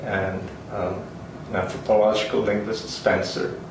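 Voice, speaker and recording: An older man from Finland who grew up speaking Swedish, Finnish and German, recalling his life in an interview with hesitant, halting speech. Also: fairly low pitch, level tone. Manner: hesitant, many ums and restarts, chuckles. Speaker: interviewee, linguist. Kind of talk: oral history interview. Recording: roomy, distant, faint hiss.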